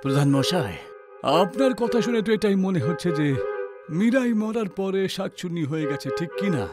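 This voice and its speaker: A man's voice: sits high, expressive, moderate pace, music in the background. An actor playing a grave, authoritative village holy man giving warnings in a horror story cartoon. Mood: solemn warning. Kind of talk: animated horror story dubbing.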